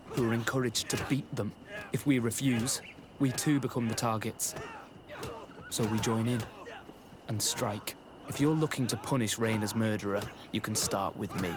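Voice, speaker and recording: A man playing a tormented, bitter young military recruit in a video game cutscene, speaking gravely and earnestly. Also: fairly low pitch, close to an everyday voice. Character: tormented young male recruit, grim, bitter, guilt-ridden. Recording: clearly noisy game audio with ambience.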